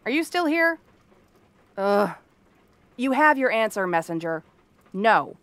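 A woman's voice: medium pitch, very animated, takes it slowly, fairly bright tone, no echo. A woman playing a cranky exiled princess turned frog girl in a fantasy ASMR roleplay, haughty, sarcastic and theatrical. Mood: irritated, dismissive. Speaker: voice actress.

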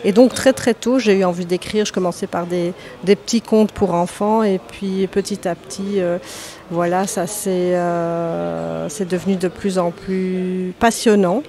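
A woman in her thirties from Lebanon, an author answering podcast interview questions with many pauses, fillers and restarts. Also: lowish voice, fairly even intonation, warm tone. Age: 30s. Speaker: author guest.

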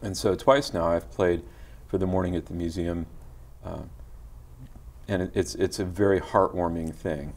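A middle-aged man speaking gently as a guest in a TV studio interview, with many small hesitations, on a lapel mic. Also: low voice, steady tone, moderate pace. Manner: gentle, soft-spoken storytelling with hesitations. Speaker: guest musician. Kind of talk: casual interview talk. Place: tv studio set.

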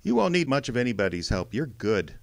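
An actor playing a world-weary, wry private detective in a radio drama, blunt and dry in manner. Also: male voice, medium pitch, big pitch swings, fairly quick, creaky. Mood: probing, sardonic. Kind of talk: old-time radio detective drama acting.

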